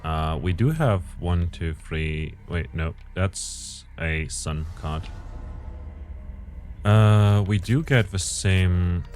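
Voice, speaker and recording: Male gameplay commentator, low voice a bit strained, mumbly think-aloud delivery. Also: drawn-out words, a little mellow, faint music behind. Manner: thinks aloud, muttering calculations and self-corrections. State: voice strained lately.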